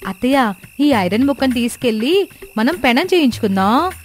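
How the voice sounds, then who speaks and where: A woman's voice: a little high, expressive, normal speed, slight background noise, natural voice. A woman voicing a caring, earnest poor daughter-in-law from a village in a children's story cartoon. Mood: hopeful. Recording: music bed behind the voice.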